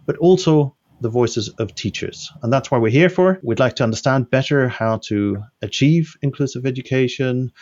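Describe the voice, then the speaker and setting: A man's voice: medium pitch, moderate pace, few pauses, darkish tone, dull audio. A man hosting a panel discussion, introducing guests in a polite, formal way. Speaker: host.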